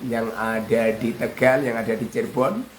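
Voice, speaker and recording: A man's voice: medium pitch, fairly even intonation, moderate pace, slight background noise. A man with a Javanese accent teaching casually on a livestream, joking and reeling off long lists. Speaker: Islamic boarding school teacher. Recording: roomy with faint ambience.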